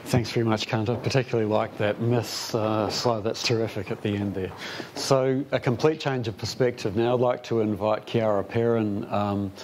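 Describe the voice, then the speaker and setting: A man with a lowish voice moderates an event. He thanks one speaker and introduces the next in a friendly, slightly hesitant way. A faint hiss runs in the background. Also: fairly even intonation, normal speed, a little mellow.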